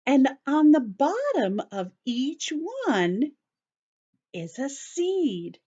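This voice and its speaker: A woman reading a storybook aloud to young children in an animated, teacherly way, stopping to explain simply and ask questions. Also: sits high, very slow, highs cut off.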